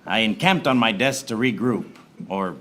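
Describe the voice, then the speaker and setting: Man playing a low-voiced deadpan noir private eye. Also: moderate pace. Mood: flustered deadpan. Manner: deadpan pun-laden monologue. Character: wry hard-boiled noir private eye, parody narrator. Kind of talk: parody film noir radio serial narration. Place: small theater stage before live audience. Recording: noisy live theater stage recording.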